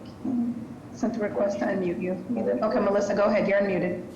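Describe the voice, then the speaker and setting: A woman's voice: mid-range voice, steady tone, fast talker, background noise. A woman moderating a hearing, calling on speakers with short prompts. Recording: dull echoey call audio.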